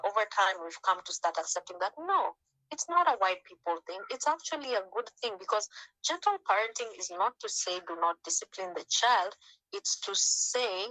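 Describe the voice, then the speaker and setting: Bright-voiced woman speaking on a call. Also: no room sound, rough recording. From Africa. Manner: conversational, acts out quoted parents with jumps in pitch. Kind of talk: informal talk on a group call.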